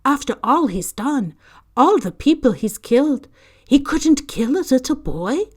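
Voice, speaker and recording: A stern older witch, sharp and pressing, her voice pitched up and loud with worry, as a woman reading the story puts her on. She pushes her questions urgently, the words trembling. A storybook schoolmistress on edge. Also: expressive, unhurried. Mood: distraught. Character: stern older witch professor, anxious and emotional.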